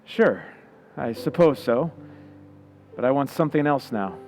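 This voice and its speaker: A man's voice: mid-range voice, expressive, measured pace, darkish tone. A man playing a moody, weak and violent kept husband of a movie star, terse and intense, in an old-time radio suspense play. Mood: low, yearning. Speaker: actor in a community playhouse radio play.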